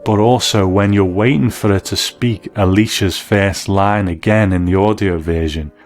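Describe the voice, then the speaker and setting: A man with a very low voice presents as a documentary host, moving between conversation and explanatory narration. Music plays faintly behind him. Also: fairly even intonation, normal speed. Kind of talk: interview chat and explanatory narration.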